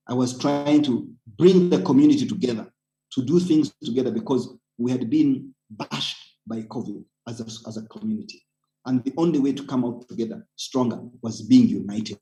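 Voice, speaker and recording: A man's voice: mid-range voice, mellow, poor audio. A man with a Ugandan accent speaking as a webinar panelist, earnest and persuasive, urging people on with emphatic points. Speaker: panelist, community organizer. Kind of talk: webinar talk, personal account with exhortation.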